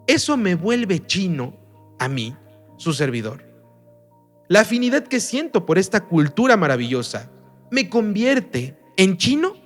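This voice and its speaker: A man's voice: sits high, very animated, unhurried, faint music behind. A man from Mexico, teaching an online religious lesson in an emphatic way, full of rhetorical questions.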